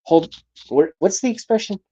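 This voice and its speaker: A man in his late thirties hosting a livestream talk show, rambling and profane, with his loudness swinging up and down. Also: high-pitched, normal speed, breathy edge, lo-fi sound. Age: 30s.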